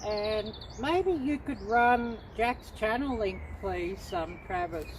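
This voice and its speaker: Slow-talking woman chatting on a livestream. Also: mid-range voice, expressive, a little hiss or hum. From Australia. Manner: unhurried, chatty, many ums. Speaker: livestream host. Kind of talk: casual livestream chat with viewers.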